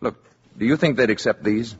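An actor playing a calm, courteous alien posing as an ordinary man in a 1950s radio drama, speaking in a measured, assured way. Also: mid-range voice, husky. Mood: polite, curious. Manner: polite, measured, quietly assured. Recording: dull, noisy 1950s radio recording.

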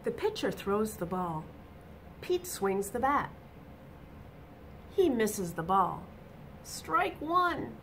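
A middle-aged woman reading a picture book aloud to children, expressive and deliberate, with emphatic exclamations, in a small room. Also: mid-range voice, a little hiss or hum. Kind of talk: animated read-aloud storytelling for children. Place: small indoor office or classroom.

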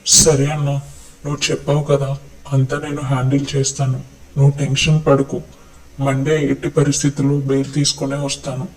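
Distant from mic, man acting loyal young aide, even intonation, reassuring, matter-of-fact, web series drama. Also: medium pitch, fairly quick, soft background music, close to an everyday voice. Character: dependable, reassuring young aide to an older brother-figure. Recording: distant from the microphone.

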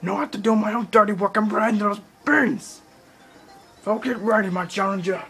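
Man putting on a blustering, raised-pitch cartoon villain boss. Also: steady tone, normal speed. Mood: angry, commanding. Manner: shouting, theatrical, scolding. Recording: handheld camera, game music in background.

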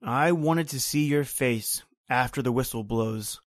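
A mid-pitched male voice of an actor plays a fatalistic island servant in an old-time radio horror drama. He is terse and flat, with a grim, ominous manner. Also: unhurried, dry recording, clean studio sound, close to an everyday voice.

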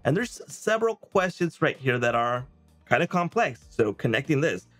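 A man in his thirties presents a software walkthrough in a casual, conversational way. His voice sits at a middle pitch, and light music plays behind him. He often checks in with the listener. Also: very animated, moderate pace. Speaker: presenter of software review videos. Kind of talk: casual software demo to camera. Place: small indoor home studio. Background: background music.

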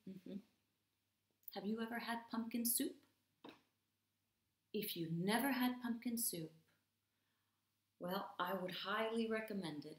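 A woman's voice: lowish voice, a bit bright. A woman in her thirties, an English teacher, reading aloud expressively and explaining simply to children. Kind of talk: expressive picture-book read-aloud for young learners. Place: small home room, indoors. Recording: roomy home video sound.

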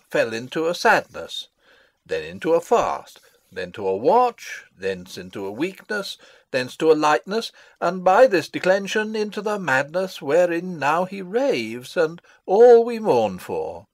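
Pompous old royal advisor, shakespeare style, slow n rambling, super swoopy pitch. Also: male voice, very animated, dry recording. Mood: self-important, earnest. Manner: rambling, self-satisfied, theatrical. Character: pompous, long-winded old male royal counsellor and father. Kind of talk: classical Shakespearean stage acting, dramatic reading.

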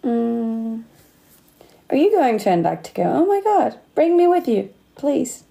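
A woman's voice: a little high, big pitch swings, measured pace, vocal fry, warm tone. A Danish woman in her late twenties with a slight Australian accent, chatting casually on a livestream from her phone and laughing often. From Denmark. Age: late 20s. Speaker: livestream host. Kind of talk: casual livestream chat reading comments. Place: indoor room. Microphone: phone close to face.

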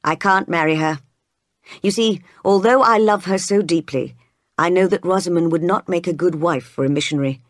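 Devout, self-denying storybook vicar, voiced by a narrator: stern, slow, restrained. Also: medium pitch, no room sound, clean studio sound, lightly acted. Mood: torn.

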